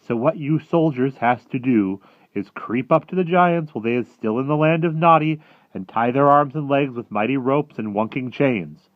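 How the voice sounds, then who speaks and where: A man voicing an excitable, friendly storybook giant who mangles his words in folksy, childlike grammar. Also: medium pitch, moderate pace, dry recording, phone-quality sound, pitched above the performer's own voice, close to an everyday voice. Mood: eager, explaining.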